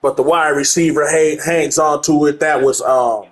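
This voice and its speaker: A man's voice: on the high side, normal speed. A man doing casual play-by-play of his own football video game, his voice congested from allergies. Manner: casual play-by-play, gets loud and excited on big plays. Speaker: gamer playing through a football game. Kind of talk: play-by-play commentary on own gameplay.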